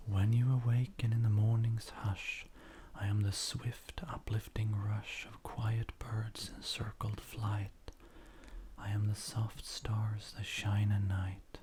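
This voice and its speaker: Soft whispery guy doing asmr poetry reading, really slow n mellow, breathy, measured. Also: lowish voice. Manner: gentle, unhurried, measured. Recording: faint background noise.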